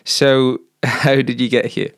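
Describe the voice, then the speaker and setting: A man's voice: mid-range voice, level tone, slow. A man interviewing a couple, friendly and asking short questions. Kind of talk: conversational interview.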